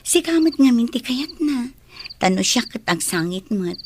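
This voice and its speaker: A woman's voice: fairly high pitch, big pitch swings, normal speed, background noise. An actress playing a tender, grateful young wife and mother in a radio drama.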